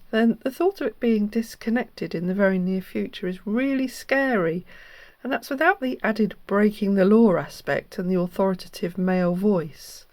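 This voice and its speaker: A woman presenter with a mid-pitched voice, recorded dry and close. She explains things in an earnest, instructive manner, like a host giving practical advice. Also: darkish tone, no room sound. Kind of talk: explanatory scam-awareness narration.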